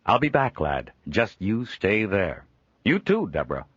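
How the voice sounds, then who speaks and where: A man voicing a jovial middle-aged traveling trader, hearty and quick to laugh. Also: very animated, fairly bright tone, husky, no echo, lo-fi sound, full character voice. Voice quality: hearty, booming, laughs often.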